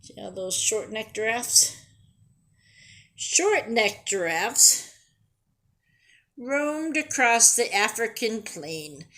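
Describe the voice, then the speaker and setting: Slow-paced woman reading to young children. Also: on the high side, lively intonation, pushed and bright. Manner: pauses to ask simple questions and explain words. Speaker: children's storyteller and teacher. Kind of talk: read-aloud of a picture book for young children.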